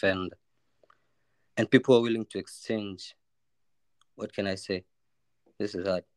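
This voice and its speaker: A man with a Kenyan accent speaks as a guest in casual chat. His voice is low, and the sound is very dry. He is informal and hesitates as he looks for words. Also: no room sound. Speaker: podcast guest.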